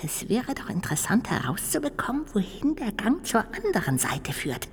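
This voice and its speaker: Childlike little ghost in reverberant halls. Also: female voice, on the high side, sing-song. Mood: curious, determined. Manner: musing aloud to itself, drawn-out hesitations and exclamations. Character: little ghost, childlike, curious, cheerful and musing. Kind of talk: radio play acting. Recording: long hollow reverb, clear background noise.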